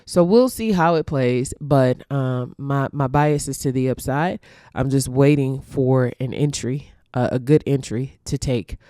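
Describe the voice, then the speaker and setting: A woman's voice: extremely low pitch, a little slow, mellow, no room sound, clean studio sound. A congested woman teaching forex trading, walking through a chart casually and thinking aloud with ums and restarts.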